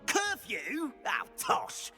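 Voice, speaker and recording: An actor playing an anxious, theatrical school caretaker in a fantasy game, fussy and hesitant, with old-fashioned wordy speech. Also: male voice, extremely high pitch, wildly swooping pitch, extremely slow, sharp tone, soft background music, full character voice. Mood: flustered, eager. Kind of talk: video game character dialogue.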